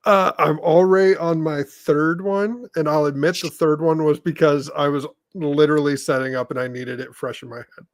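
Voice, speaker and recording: Slightly high-voiced man hosting casually. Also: steady tone, moderate pace, hardly any pauses. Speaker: podcast host. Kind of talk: casual chat.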